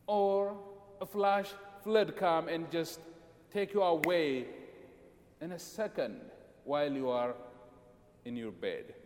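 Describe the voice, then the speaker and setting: A man from Ethiopia preaching a sermon, emphatic and repetitive with dramatic pauses, in a church sanctuary. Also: high voice, a little mellow, long reverb tail.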